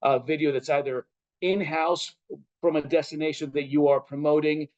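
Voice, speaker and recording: A man's voice: fairly high pitch, steady tone, moderate pace, darkish tone, rough recording. A man with an American accent giving expansive, articulate answers as an interview guest, in a conversational style. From Chile and Southern California. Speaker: interview guest, travel editor and broadcaster.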